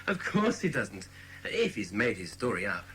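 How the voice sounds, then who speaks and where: A man playing a sceptical, patient but condescending British father in a children's audiobook drama, speaking in a measured way. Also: fairly low pitch, huge pitch swings, sharp tone, slight background noise, exaggerated voice. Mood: dismissive.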